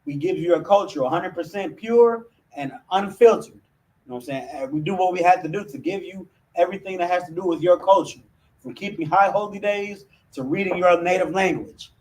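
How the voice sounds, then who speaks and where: Male American religious class instructor. Slightly high voice, emphatic and conversational, peppered with verbal tags, picked up from a distance. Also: fairly quick, darkish tone. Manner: emphatic, with frequent "you know what I'm saying". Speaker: religious group officer teaching a Hebrew class. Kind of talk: informal religious language lesson to camera.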